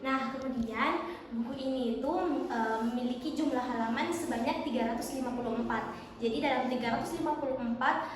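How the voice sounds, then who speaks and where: A high-voiced young woman explaining things as a guest on a school podcast, recorded in a long, ringing hall. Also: steady tone, brisk. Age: teens or young adult. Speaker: podcast guest, student book co-author. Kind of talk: explanatory interview answers. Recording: very echoey, reverberant hall.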